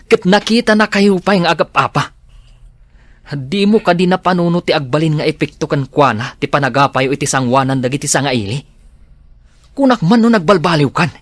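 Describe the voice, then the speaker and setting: A man's voice: on the high side, no room sound. A man with an Ilocano accent acting a protective, earnest friend in a radio drama, given to exasperated scolding.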